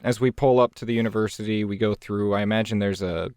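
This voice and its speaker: Fairly low-voiced man playing a tabletop game. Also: fairly even intonation, fast talker, a little mellow, clean tone, dry recording, studio quality. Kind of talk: casual game talk.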